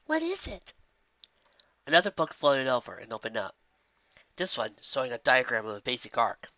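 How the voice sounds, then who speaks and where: Male narrator for fan fiction audiobook: expressive, forward tone, dry room, slightly lo-fi sound. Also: mid-range voice, brisk, dry recording.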